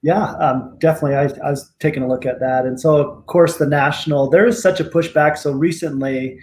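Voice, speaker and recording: Man explaining with a slightly rough throat. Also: on the high side, steady tone, fairly quick, a little mellow. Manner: thoughtful, hedging, many ums. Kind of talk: casual explanation in a talk show.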